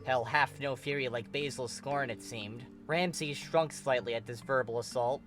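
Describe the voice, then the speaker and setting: A man's voice: medium pitch, fairly even intonation, moderate pace, a bit bright. A man reading fan fiction as narrator, in a scripted but casually profane storytelling style. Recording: music behind the voice. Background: clear music.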